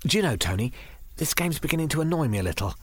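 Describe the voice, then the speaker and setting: Casting: male reader playing a well-mannered, anxious storybook woman, high voice, confiding tone. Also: big pitch swings, fast talker, husky, dry recording. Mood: uneasy confiding. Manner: polite, confiding. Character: genteel, uneasy woman, chatty and confiding.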